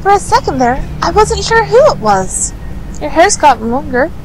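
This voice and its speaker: A woman playing a sweet, excitable, easily flustered teenage girl in a radio drama. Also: sits high, normal speed, soft background music, poor audio. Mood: cheerful, polite. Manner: excitable, polite.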